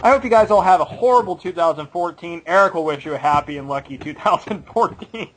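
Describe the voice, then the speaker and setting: A man's voice: high-pitched, fast talker, a bit bright, a little hiss or hum, rough recording. A man in his late twenties co-hosting a bowling podcast, chatting casually, laughing often and playfully cynical. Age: late 20s. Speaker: podcast co-host. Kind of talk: casual chat.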